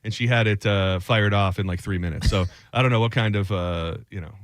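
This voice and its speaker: A man's voice: on the low side, fairly quick, no echo, clean studio sound. A man from Michigan hosting a radio show, telling a rambling, self-deprecating story in casual banter.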